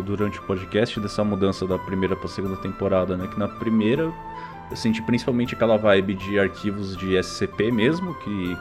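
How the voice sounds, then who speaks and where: A Brazilian man talking casually and informally as a podcast host. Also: sits low. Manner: informal, slangy. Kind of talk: casual interview chat.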